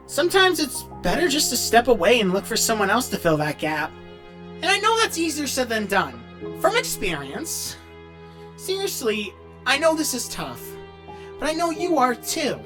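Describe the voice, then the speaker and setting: Woman acting as young awkward character, high-pitched, very lively intonation, earnest, kind-hearted, hesitant casual chat, faint music behind. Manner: hesitant, rambling, flustered, encouraging. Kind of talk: in-character question-and-answer, casual improvised-sounding chat.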